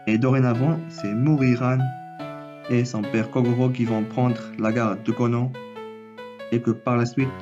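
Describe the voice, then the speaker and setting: Homme enrhumé sur fond de musique. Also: male voice, medium pitch, muffled recording. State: has a cold. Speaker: anime and manga YouTuber. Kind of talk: scripted theory video.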